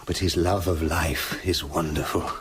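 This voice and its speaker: An actor with a British accent playing a tormented scientist with a sinister other self, speaking formally and intensely in a radio play. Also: male voice, deep voice, steady tone, a little slow, fairly bright tone, breathy edge, exaggerated voice. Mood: weary, haunted. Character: tormented male scientist slipping into his menacing, sinister alter ego. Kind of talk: dramatic radio play acting, confessional monologue.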